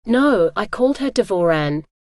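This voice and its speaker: Calm, strong man, dry matter-of-fact teasing, voiced by a woman, audiobook dialogue. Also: mid-range voice, normal speed, no room sound, lightly acted.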